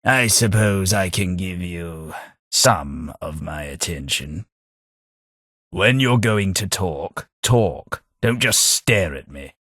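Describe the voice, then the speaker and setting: A prim, disdainful old ghoul. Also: male voice, lively intonation, a bit bright, dry recording, heavily acted. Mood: sardonic. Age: older. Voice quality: low, dry, measured and cutting. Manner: refined, sardonic, easily offended. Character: old, proper, disdainful male ghoul companion. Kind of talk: game companion voice lines.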